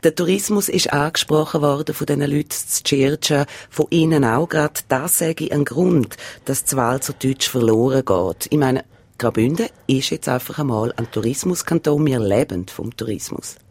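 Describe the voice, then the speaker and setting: Husky Swiss German interviewer. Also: male voice, mid-range voice, moderate pace, a little mellow, dry recording. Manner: direct, probing. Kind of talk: radio interview questions.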